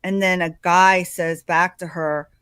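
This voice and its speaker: A woman's voice: on the low side, little pitch movement, unhurried, a bit bright, smooth, no room sound. A woman doing casual true crime commentary in a hesitant way, with many ums and uhs.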